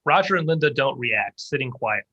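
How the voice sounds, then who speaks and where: A man's voice: mid-range voice, lively intonation, normal speed, bright, forceful tone, lo-fi sound. A man narrating stage directions from a screenplay as scripted narration.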